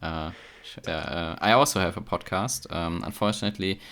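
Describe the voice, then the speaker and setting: A man's voice: deep voice, normal speed, fairly bright tone, clear, resonant tone. A man with a German accent, a chemistry PhD guest, talking casually in an interview with frequent hesitations and restarts. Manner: hesitant, many fillers and restarts.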